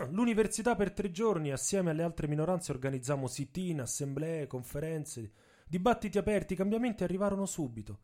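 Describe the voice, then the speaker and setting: A man's voice: fairly high pitch, expressive, fairly quick, a little mellow, close to an everyday voice. A man playing a proud, indignant young American activist professor in a dramatic radio monologue, telling his story emphatically. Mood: defiant. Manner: emphatic storytelling in the first person. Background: music with instruments.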